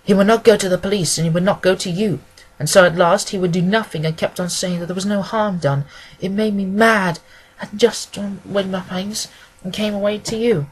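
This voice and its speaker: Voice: medium pitch, steady tone, moderate pace, slightly rough, voice pushed up, natural voice, rough recording. A reader voicing a nervous, good-humoured young woman client in an audiobook, earnest, deferential and rambling. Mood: indignant, flustered. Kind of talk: acted audiobook dialogue.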